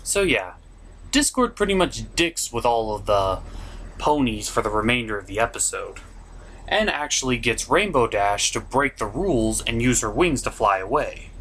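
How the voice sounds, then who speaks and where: A man's voice: mid-range voice, sing-song, normal speed, fairly bright tone. A man giving a casual scripted review commentary with a theatrical, emphatic delivery. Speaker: cartoon reviewer and show host. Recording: noticeable background noise.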